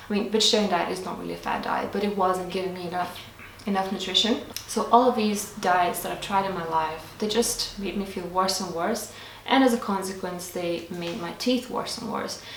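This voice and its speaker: A woman's voice: fairly low pitch, creaky, distant mic, slight background noise. A young woman whose first language is Lithuanian, chatting earnestly to camera as she answers viewer questions, with small restarts. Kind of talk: casual to-camera Q&A. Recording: echoey room, voice off-mic.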